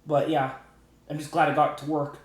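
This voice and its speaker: A man explaining something casually and off the cuff, rambling and halting as he repeats himself. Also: on the high side, flat delivery. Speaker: gaming video maker. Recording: roomy, echoey sound.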